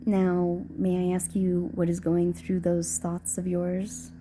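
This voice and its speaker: A woman playing a gentle, playful ghost girlfriend in an intimate romantic audio roleplay, speaking softly and formally with halting hesitations. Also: on the low side, monotone, slight background noise. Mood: wistful, curious. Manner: soft, hesitant, formal wording with halting stammers. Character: gentle playful ghost girlfriend, shy, tender, old-fashioned speech.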